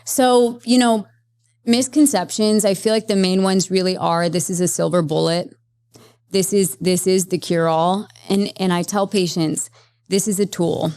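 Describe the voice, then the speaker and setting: A woman therapist speaking as a podcast guest, explaining at length in a lively, conversational way with many fillers. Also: fairly low pitch, measured pace, clear, resonant tone, no room sound. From Las Vegas, Nevada, USA. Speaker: guest, psychedelic integration therapist. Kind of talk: conversational interview.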